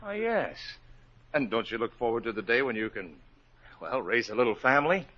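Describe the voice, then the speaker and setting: An actor in a 1940s radio musical playing an earnest young suitor who dreams of marriage, speaking sincerely and crooning slow, smooth love songs. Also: male voice, medium pitch, very animated. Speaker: host and lead baritone singer. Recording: dull 1940s radio broadcast, loud orchestra.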